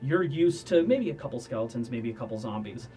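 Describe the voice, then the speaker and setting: A man's voice: medium pitch, expressive, fairly quick, music in the background. A man narrating as dungeon master of a tabletop role-playing game, describing scenes and answering players.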